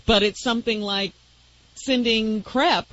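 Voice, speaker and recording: A woman's voice: fairly high pitch, fairly even intonation, a little slow, a bit bright, highs cut off. A woman co-hosting a radio show, talking casually and reflectively in discussion. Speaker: radio co-host.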